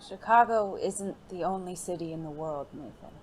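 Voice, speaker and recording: A performer playing a gentle, refined gentleman companion in an audio drama, speaking formally, earnestly and in a measured way. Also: medium pitch, lightly acted. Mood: gentle, reasoning. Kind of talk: intimate audio drama dialogue. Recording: faint noisy background ambience.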